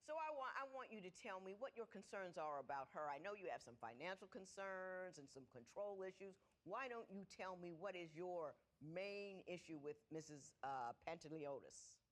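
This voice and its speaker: A woman's voice: on the low side, lively intonation, normal speed, hardly any pauses. A woman presiding as judge on a televised courtroom show, speaking frankly and folksily, often repeating words as she lectures. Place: TV courtroom studio.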